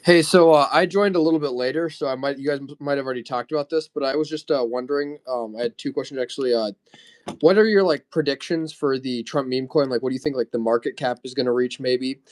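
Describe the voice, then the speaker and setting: A man calls in to a live chat and talks quickly. His questions come out hesitant, padded with fillers. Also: medium pitch. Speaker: caller. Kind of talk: casual live audio chat.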